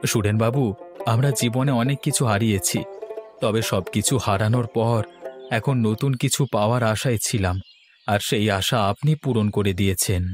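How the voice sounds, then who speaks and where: A man's voice: lowish voice, normal speed, soft background music, lightly acted. A man voicing a poor, humble farmer in a cartoon, speaking with gratitude. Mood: grateful. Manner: humble, grateful speech. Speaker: voice actor. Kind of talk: cartoon voice acting.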